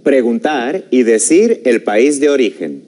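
Animated male Venezuelan TV presenter. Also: mid-range voice. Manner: clear, deliberate. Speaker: TV course presenter. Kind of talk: scripted educational presenting. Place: TV studio.

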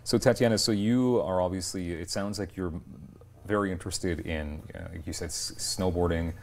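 A man's voice: deep voice, normal speed, a little hiss or hum. A man in his forties hosting a television talk show and interviewing guests conversationally. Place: TV studio, indoors.

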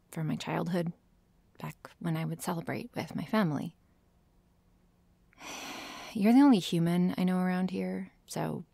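Casting: woman as defensive, secretly shy vampire girl for ASMR roleplay; lowish, unhurried delivery, sighs and near-whispers. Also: vocal fry, warm tone, breathy edge, no room sound, exaggerated voice. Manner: hesitant, sighing, defensive, often drops to a whisper. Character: tsundere vampire girl, prickly, sarcastic, secretly shy and sweet. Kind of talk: ASMR roleplay addressed to the listener.

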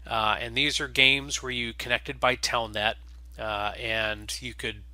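A man hosting an audio log, talking casually and haltingly, with very frequent uhs. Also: mid-range voice, steady tone, sharp tone, no echo. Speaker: host and game creator.

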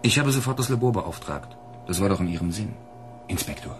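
A man's voice: low voice, animated, brisk, background noise. An actor playing a dutiful plainclothes policeman in a German radio drama, polite, cool and pointed. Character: dutiful, coolly suspicious male plainclothes policeman.

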